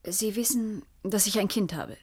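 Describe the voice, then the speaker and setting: An actress in a German radio play voicing a guarded, earnest young nurse with a husky voice, polite and hesitant. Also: female voice, mid-range voice, normal speed, a little mellow, natural voice.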